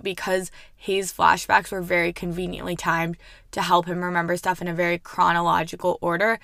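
A young woman hosting a casual book review podcast, her voice hoarse and gravelly from overuse, rambling chattily with casual swearing. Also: fairly low pitch, pushed and bright, dry recording, clean studio sound. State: hoarse, worn-out voice. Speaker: podcast host.

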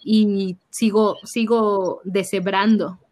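A young woman with a Mexican accent, a youth minister sharing her faith story in an animated conversational way. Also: medium pitch, slow pace. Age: young adult. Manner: animated storytelling, acts out her own past reactions. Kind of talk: personal testimony in conversation.

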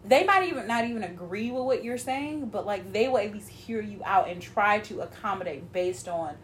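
A woman's voice: mid-range voice, lively intonation, moderate pace, a little hiss or hum. A woman around thirty in casual chat, talking loosely with lots of filler words. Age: 30s.

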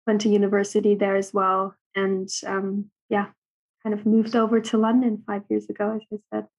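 Young woman from Germany as a guest, flat delivery, soft and hesitant. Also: medium pitch, moderate pace, dull audio. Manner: soft-spoken with many ums. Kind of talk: casual interview chat.

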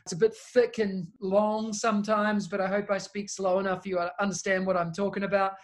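A man in his thirties from New Zealand with a New Zealand accent, a guest chatting casually in an interview about his consulting work, friendly and relaxed. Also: high-pitched, brisk. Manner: relaxed, friendly, talks in long lists. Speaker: guest, education technology consultant.

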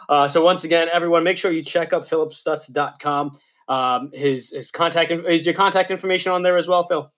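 A man's voice: fairly high pitch, steady tone, moderate pace, a bit bright. A man with a New York accent hosting a podcast, friendly and upbeat, speaking straight to his listeners. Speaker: podcast host. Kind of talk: casual podcast interview.

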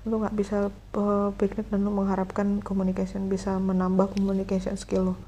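A woman, hosting a casual solo podcast and musing reflectively with many fillers and pauses. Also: mid-range voice, completely flat delivery, mellow, slight background noise. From Indonesia. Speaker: podcast host.

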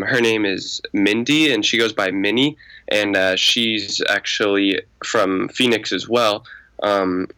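A man in his twenties with a voice raspy from a cold, answering questions in a laid-back, rambling way with many fillers. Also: fairly low pitch, sharp tone, low-quality recording. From Arizona. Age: 20s. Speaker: guest, music producer. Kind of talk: casual podcast interview.

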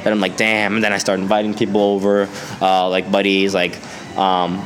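A man's voice: low voice, monotone, moderate pace. A young man from Sweden with a slight Swedish accent, a podcast guest talking casually in a laid-back, rambling way full of "like". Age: young adult. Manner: laid-back, rambling, laughs often, lots of "like".

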